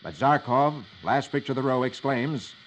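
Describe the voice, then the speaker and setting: A man's voice: mid-range voice, lively intonation, normal speed. A man hosting a 1950s children's radio show, reading comic strips in a jolly, theatrical storytelling style. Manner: jolly, exaggerated delivery. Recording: noisy old 1950s radio recording.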